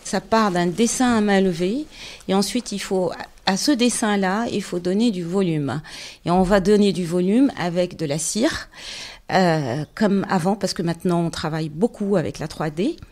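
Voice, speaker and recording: Unhurried middle-aged woman from Morocco. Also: lowish voice, darkish tone. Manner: measured and unhurried, pausing often to find words. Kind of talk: casual interview, explaining her trade.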